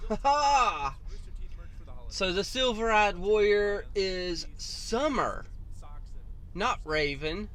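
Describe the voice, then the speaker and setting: Man, reaction host, casual chatty commentary, slow, high. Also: a little hiss or hum. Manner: relaxed, chatty, musing.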